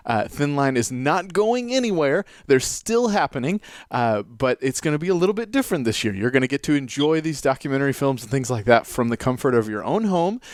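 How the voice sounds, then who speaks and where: A man's voice: medium pitch, no room sound, studio quality. A man hosting a live radio show, upbeat and enthusiastic, with frequent filler words. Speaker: radio host.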